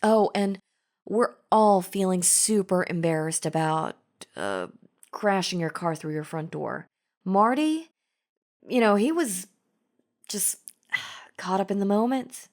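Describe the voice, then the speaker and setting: A woman's voice: mid-range voice, animated, slow, pauses often. A woman playing a chatty, falsely friendly zombie in an audio roleplay, talking casually and persuasively straight to the listener. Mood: nervous, placating. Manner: casual, chummy, persuasive, conversational. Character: chatty female zombie, falsely friendly, coaxing flesh-eater. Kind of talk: scripted audio roleplay, addressing the listener directly.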